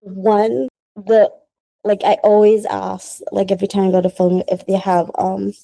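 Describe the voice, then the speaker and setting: Woman, from the Philippines, casual interview guest, rambling and chatty, mellow tone, dry sound. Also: mid-range voice, level tone, a little slow, vocal fry, dry recording, low-quality recording. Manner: chatty and rambling, many "like"s, laughs often. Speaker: guest, small business founder.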